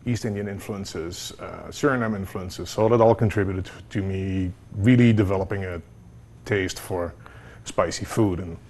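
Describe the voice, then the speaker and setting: A man's voice: deep voice, moderate pace, a little mellow. A middle-aged man from the Netherlands with a Dutch accent, explaining his craft as a studio interview guest. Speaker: guest, hot sauce maker.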